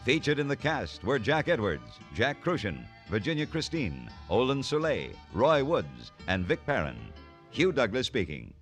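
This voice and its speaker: Vintage radio announcer guy, very swingy delivery, mid pitch, music behind. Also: huge pitch swings, normal speed. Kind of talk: 1950s radio announcing, scripted credits.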